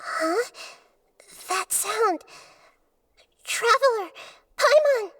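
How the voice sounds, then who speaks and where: A woman's voice: very high voice, animated, extremely slow, a bit bright, exaggerated voice. A woman playing a small, childlike goddess of wisdom in a video game, earnest, precise and thoughtful, with music behind. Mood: alarmed. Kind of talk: dramatic video game voice acting.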